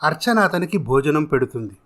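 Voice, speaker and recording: A man's voice: fairly high pitch, animated, fairly quick, fairly bright tone. A man narrating a scripted moral story in a storytelling style.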